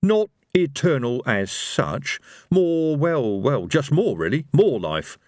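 A man's voice: mid-range voice, big pitch swings, takes it slowly, a little mellow, no echo, voice pushed up. A man voicing a nervy, cowardly fellow who is hesitant, dry and self-justifying, in a storybook reading. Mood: evasive. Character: Rincewind, nervy cowardly man, wry and evasive.

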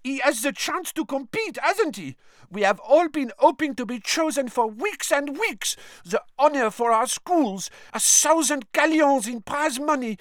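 Audiobook role: haughty, indignant young woman, played by a man, pitched very high and forceful, dry close recording. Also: animated, a little slow, dry recording.

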